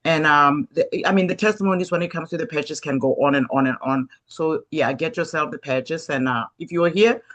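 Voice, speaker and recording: A woman's voice: deep voice, fairly quick, hardly any pauses, fairly bright tone. A woman hosting a casual group video call who talks in an enthusiastic, effusive way and laughs often.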